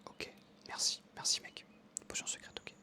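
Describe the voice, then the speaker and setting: A young adult ASMR creator, telling a story in a soft unvoiced whisper that meanders with many fillers. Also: moderate pace.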